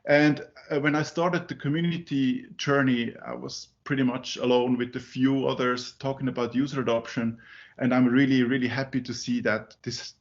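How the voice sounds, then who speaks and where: A man's voice: mid-range voice, muffled recording. A man from Finland who grew up in Zurich, not a native English speaker, giving a talk at a user group meeting with many fillers and restarts. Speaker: conference speaker.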